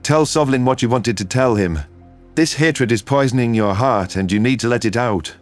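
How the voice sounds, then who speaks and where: A man voicing a kind, forgiving human man with an even, measured delivery in an audio drama. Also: medium pitch, soft background music, natural voice. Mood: firm, gentle. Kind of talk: audio drama character acting.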